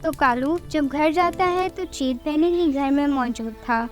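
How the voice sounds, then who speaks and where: High-pitched woman narrating children's stories. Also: a little slow, faint music behind. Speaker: story narrator. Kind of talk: scripted children's cartoon story narration.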